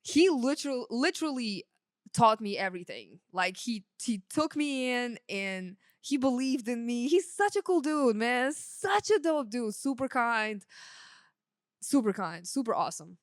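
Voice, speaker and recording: A woman's voice: on the high side, animated, a little slow, dry recording, studio quality. A woman in her thirties from Russia, a native Russian speaker, talking informally and modestly as a podcast guest, with lots of "like" and "you know". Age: 30s. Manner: informal, self-effacing, peppers talk with "like" and "you know". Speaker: podcast guest, sound engineer. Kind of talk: casual chat interview.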